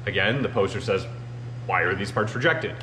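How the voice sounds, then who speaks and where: A man's voice: deep voice, moderate pace, sharp tone. A man in his thirties explains shop matters in a loose, conversational way, dropping "you know" often. He speaks straight to a camera across a large machine shop. The sound is roomy with a faint hum underneath. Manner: conversational, uses many "you know" fillers. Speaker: machinist show host. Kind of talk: casual explanatory talk to camera.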